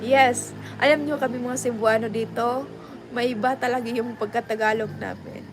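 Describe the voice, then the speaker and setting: A woman's voice: a little high, fairly even intonation, brisk, background noise. A young adult woman with a Filipino accent, chatting casually to her viewers and switching between English and Tagalog. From Philippines. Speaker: vlogger.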